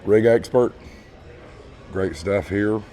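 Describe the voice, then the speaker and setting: A hoarse man losing his voice, casually walking viewers through a large store and calling them folks. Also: lowish voice, slow, mellow. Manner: easygoing, repeats praise, addresses viewers as folks. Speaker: vlog host touring a store. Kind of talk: casual walkthrough narration. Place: large retail store indoors. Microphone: handheld phone camera.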